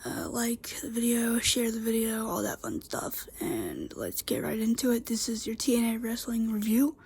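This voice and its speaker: A woman's voice: on the high side, expressive, moderate pace, gravelly, darkish tone, a little hiss or hum. A woman vlogger with a congested voice, recapping a wrestling show in a chatty, rambling way with many fillers and restarts. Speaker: YouTube wrestling reviewer. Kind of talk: casual solo show recap.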